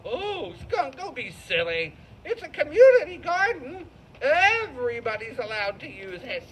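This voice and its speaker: A high-pitched, swooping boy rabbit from a kids' puppet show, played by a woman. He is an eager, neighborly chatterbox. There is faint noise behind the voice. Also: very animated, a little slow, fairly bright tone, exaggerated voice, low-quality recording. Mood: breezy. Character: cheerful, friendly male rabbit, eager home cook. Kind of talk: children's puppet show storytelling.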